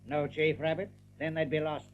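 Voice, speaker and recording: An actor playing a menacing rabbit general in an audio drama, measured, insinuating and commanding. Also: male voice, a little high, little pitch movement, natural voice. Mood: stern, probing. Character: suave, menacing male rabbit general, probing and controlled. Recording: slightly muffled tape with background noise.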